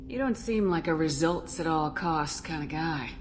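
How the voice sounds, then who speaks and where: Low-voiced, authoritative woman commander played by an actress. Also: a little hiss or hum, lightly acted. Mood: curious, measured. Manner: authoritative, measured. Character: commanding female starship commander, cool and direct. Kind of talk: video game dialogue acting.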